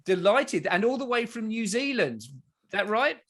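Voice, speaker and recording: A man's voice: high-pitched, very animated, moderate pace, fairly bright tone. A man from Australia with an Australian accent, hosting a podcast in a rambling, enthusiastic way with many restarts. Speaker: podcast host. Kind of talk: casual interview chat.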